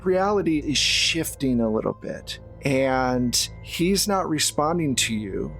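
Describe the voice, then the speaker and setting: A man's voice: a little high, lively intonation, takes it slowly, fairly bright tone. A man narrating a horror tabletop roleplaying game as the game master. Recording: music behind the voice.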